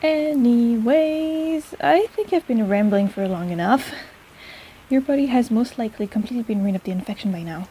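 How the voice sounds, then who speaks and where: A woman performs a kindly apothecary shopkeeper in a personal-attention audio drama. Her voice sits a little high yet is very mellow and warm. She rambles haltingly, teases fondly and flusters easily, over faint background noise. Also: animated, lightly acted, poor audio. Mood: chatty, upbeat. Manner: chatty and rambling, halting, gently teasing. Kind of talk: intimate personal-attention audio roleplay, speaking to the listener.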